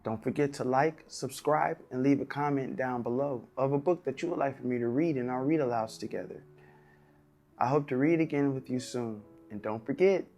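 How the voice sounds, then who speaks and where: A man hosting a story time, addressing young children like a classroom teacher in clear, simple phrases. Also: medium pitch, normal speed, darkish tone, faint music behind. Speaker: children's read-aloud host, teacher.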